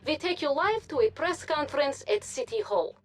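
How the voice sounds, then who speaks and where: Animated high-pitched propaganda news anchorwoman. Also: normal speed, soft background music. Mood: formal, grave. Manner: formal, dramatic newsreader delivery. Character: polished propaganda TV news anchorwoman loyal to the Soviet occupiers. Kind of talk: scripted TV news broadcast.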